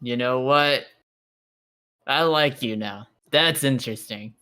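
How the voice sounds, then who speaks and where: A man's voice: medium pitch, takes it slowly, bright, forceful tone, clean tone. A man taking part in a tabletop roleplay, talking casually and musingly, often repeating his phrases. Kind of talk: casual in-character roleplay chat.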